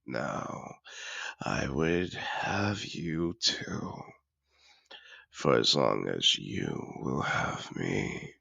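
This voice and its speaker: A man playing a seductive, possessive dragon disguised as a wealthy patron, speaking in a courtly, deliberate, intimate way for a fantasy audio roleplay. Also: very animated, bright, forceful tone, no echo, exaggerated voice. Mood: tender, seductive. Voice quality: deep gravelly voice with breathy murmurs.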